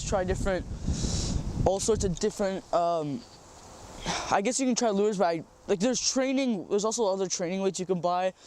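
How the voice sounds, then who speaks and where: Young male host, stuffed-up from a cold; high, loose, repetitive casual tutorial delivery. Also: a little mellow, slight background noise. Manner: rambling, repeats words, says um often. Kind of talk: casual how-to demonstration. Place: outdoors by a small farm pond.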